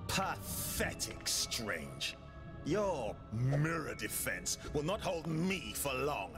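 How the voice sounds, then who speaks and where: Game villain mage, mid-pitch, expressive, gloating. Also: male voice, takes it slowly, over loud music. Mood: sneering. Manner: sneering, haughty. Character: gloating male rival sorcerer, villain. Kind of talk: video game cutscene acting.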